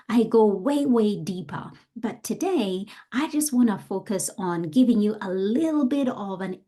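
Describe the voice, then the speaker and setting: Low, mellow woman hosting a podcast. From Australia. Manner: earnest, explanatory, speaks directly to the listener. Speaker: podcast host. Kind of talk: solo podcast monologue.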